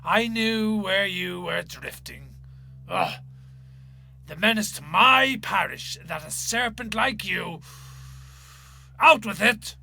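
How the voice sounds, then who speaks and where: A man acting a stern, pompous Irish parish priest in a dramatic story reading, slow and commanding in his delivery. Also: sits high, animated, creaky, pushed and bright, full character voice. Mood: contemptuous. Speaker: actor.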